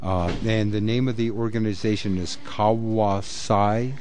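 A man's voice: lowish voice, fairly even intonation, measured pace, darkish tone, a little hiss or hum. A man hosting a live radio interview, talking in a loose, rambling way with many fillers. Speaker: radio host.

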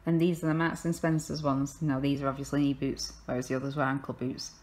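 A woman's voice: a bass voice, flat delivery, quick pace, a little mellow. A woman in her thirties with an English accent, chatting casually at home in a vlog with frequent ums as she rambles on, filmed by a camera held at arm's length. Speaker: vlogger. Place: living room sofa at home.

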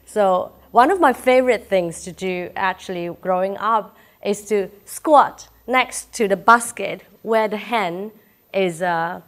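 A woman's voice: medium pitch, takes it slowly. Malaysian lady, chinese first language, chatty keynote in a lecture room, bit feverish, lots of uh's. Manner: chatty, personal, laughs often, frequent "uh" fillers. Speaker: keynote speaker. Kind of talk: inspirational talk.

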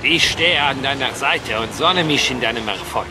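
An actor playing an excitable, boastful racing mechanic and loyal best friend in a German-dubbed video game, with a nagging manner. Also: male voice, medium pitch, normal speed, background noise, natural voice. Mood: eager.

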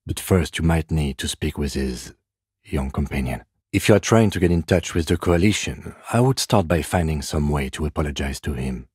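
Dry, restrained middle-aged detective for a video game; low voice, deadpan, close dry sound. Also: male voice, husky, no room sound, exaggerated voice. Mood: composed. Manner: measured, restrained, formal, deadpan. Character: composed, dry, by-the-book middle-aged male police detective. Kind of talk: scripted game dialogue.

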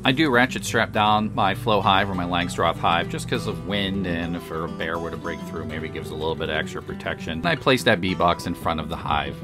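A man with a fairly low voice narrates in a relaxed, matter-of-fact way, explaining each step as he goes. His voice-over sits over clearly audible background music. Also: fairly quick, few pauses. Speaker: beekeeper and channel host. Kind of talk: voice-over narration of a hive install.

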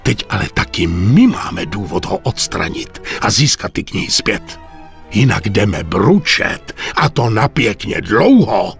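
An actor playing a stern, older mafia boss in a video game cutscene, grave and deliberate. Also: male voice, lowish voice, sing-song, gravelly, soft background music. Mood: grim resolve. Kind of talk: dramatic game cutscene dubbing.

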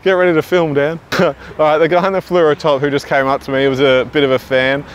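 A young Australian man with a fairly high voice hosts a prank video in an easy, giggly way, dropping swear words as he goes. He's recorded outdoors, with clear traffic and street noise running underneath. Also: flat delivery, quick pace. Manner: laughs often, swears casually. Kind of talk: casual prank vlog chat. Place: outdoors at a petrol station.

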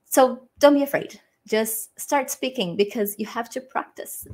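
A young woman from Brazil with a Brazilian Portuguese accent, giving English learning tips on a live stream in a small home room, pausing often with ums and restarts. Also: on the high side, darkish tone. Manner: hesitant, many ums and restarts, friendly. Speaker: English teacher. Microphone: webcam framing, desk mic.

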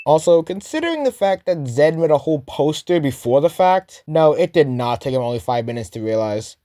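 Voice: medium pitch, very animated, a little fast, warm tone. A commentator giving a casual, sarcastic scripted critique of a cartoon. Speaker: host, commentator.

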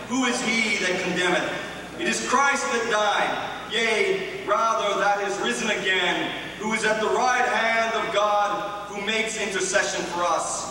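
Male church host, 30s, solemn public orator, bright forceful tone, very reverberant hall. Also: sits high. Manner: solemn, declamatory. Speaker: host and scripture reader at a church concert. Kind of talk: formal public introduction and scripture reading. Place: large auditorium on stage, indoors. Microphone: microphone on a boom stand. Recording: echoey hall, distant PA sound, faint noise.

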